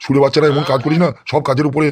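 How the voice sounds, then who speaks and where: Guy doing a crooked politician boss in a cartoon, highish voice, superfast, bullying n sweary. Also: flat delivery, very fast, natural voice. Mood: irritated, scolding. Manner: blustering, scolding, constantly swearing. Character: crude, bullying, lecherous gangster politician boss. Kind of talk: comic cartoon dialogue in crude slang.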